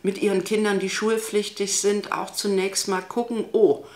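A woman's voice: medium pitch, little pitch movement, normal speed, a little mellow. A woman in her sixties explaining school matters in a matter-of-fact way, with fillers, in a classroom. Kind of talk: interview answer. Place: classroom indoors. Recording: echoey room.

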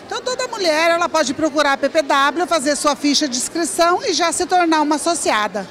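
Direct middle-aged woman, fairly high voice. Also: expressive, fast talker, fairly bright tone. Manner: direct, explanatory. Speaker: association president. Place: indoor event hall. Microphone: handheld interview mic.

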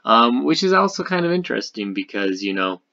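A man's voice: mid-range voice, very animated, a little fast, vocal fry, pushed and bright, muffled recording, a little hiss or hum. A man giving casual, speculative game commentary over a screen share, rambling and thinking aloud. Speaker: gaming video creator.

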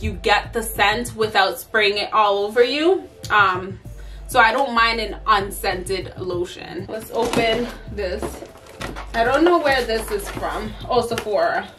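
Slow-talking tired woman vlogger. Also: a little high, music in the background. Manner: chatty, tired, many ums and likes. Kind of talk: casual unboxing vlog. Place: bedroom at home.

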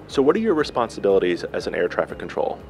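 Quick upbeat TV host man. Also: sits low, huge pitch swings, gravelly, a little mellow, slight background noise. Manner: upbeat, brisk presenter delivery. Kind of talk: local TV host interview. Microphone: handheld mic.

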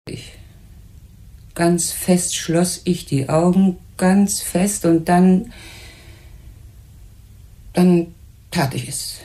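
An actress playing a proud, bitter older widow and mother in a German crime radio play, speaking in a formal, genteel, measured way. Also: fairly low pitch, steady tone, dark tone. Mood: bitter, anguished.

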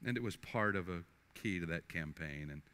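An older man, a pastor, preaching and then praying at the altar in a church sanctuary, earnest and halting, often restarting his words. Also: deep voice, lively intonation, clean tone. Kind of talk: sermon and altar prayer. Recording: faint guitar music underneath. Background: soft guitar music.

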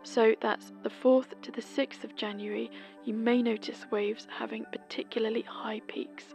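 A woman from Britain, host of a folklore podcast, reading a scripted seasonal almanac in a gentle, whispery way. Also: on the high side, little pitch movement, normal speed, gravelly, soft background music.

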